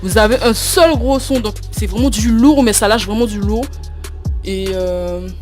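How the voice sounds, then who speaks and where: A woman's voice: mid-range voice, measured pace, over music. A young woman from Guadeloupe, not a native French speaker, walking through a phone unboxing with many fillers and hesitations. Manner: hesitant, many fillers and repeated "tout simplement". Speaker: unboxing vlogger. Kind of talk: casual phone unboxing walkthrough.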